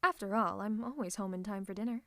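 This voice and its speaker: A woman's voice: medium pitch, quick pace, fairly bright tone, no room sound, studio quality, natural voice. A woman voicing a theatrical, possessive female supervillain who is secretly a tender lover, in a scripted ASMR roleplay with a dramatic, haughty, teasing manner. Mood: fond grumbling. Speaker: ASMR voice performer.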